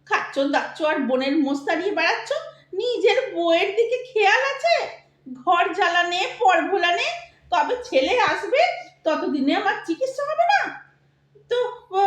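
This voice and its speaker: Female actor as bossy middle-aged wife, extremely high voice, aggrieved, sharp-tongued, theatrical audio drama, echoey room. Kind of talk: Bengali audio drama acting.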